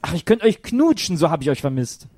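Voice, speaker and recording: A man's voice: fairly high pitch, sing-song, fairly quick, dark tone. A man playing an earnest, affectionate boy detective in a comic radio play. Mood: overjoyed.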